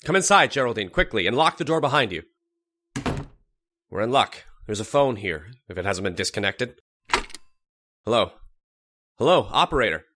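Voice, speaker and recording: Man acting calm, coaxing, scheming doctor. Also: lowish voice, normal speed, long pauses, noisy background, close to an everyday voice. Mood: calm, reassuring. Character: composed, scheming doctor posing as a protector. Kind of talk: old-time radio mystery acting.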